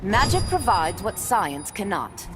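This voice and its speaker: A woman's voice: mid-range voice, big pitch swings, measured pace, in a large hall. A woman playing a proud, scornful Amazon warrior in a video game, stately and severe. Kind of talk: video game character dialogue. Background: loud music.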